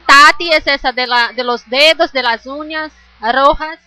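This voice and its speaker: A woman's voice: high-pitched, measured pace, sharp tone. A young Brazilian woman with a Brazilian accent, chatting on a webcam livestream from a busy shopping mall, mixing broken Spanish into Portuguese. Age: young adult. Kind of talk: casual group livestream chat. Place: large indoor shopping mall. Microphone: webcam mic.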